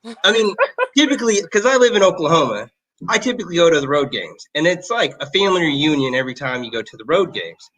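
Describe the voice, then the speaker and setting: A man's voice: on the high side, very animated, fast talker, a bit bright, poor audio. A man chatting as a guest fan, easygoing and joking. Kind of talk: casual chat.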